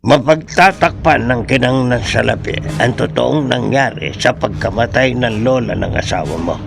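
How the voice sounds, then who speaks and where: A man's voice: medium pitch, normal speed, creaky, background noise, close to an everyday voice. An actor playing a sly older man in a radio horror drama, insinuating and ominous in his delivery.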